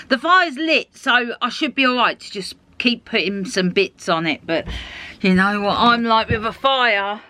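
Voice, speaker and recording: A cheerful woman who narrates her days aboard a narrowboat, talking to the viewer like a friend. Her voice sits a little high and swings freely up and down as she goes. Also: pushed and bright, no echo. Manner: upbeat, chatty, talks to camera. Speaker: vlog host. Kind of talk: chatty video diary. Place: narrowboat and outdoors.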